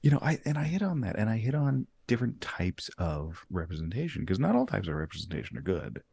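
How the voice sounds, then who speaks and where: A man hosting a casual interview, talking in a relaxed way and laughing easily between points. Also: on the low side, quick pace, creaky, husky, dry recording. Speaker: host.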